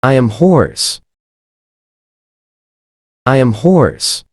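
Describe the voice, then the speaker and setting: Very slow, careful pronunciation model. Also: medium pitch, huge pitch swings, no echo, clean studio sound. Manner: says each short phrase twice, carefully. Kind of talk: slow phrase drills.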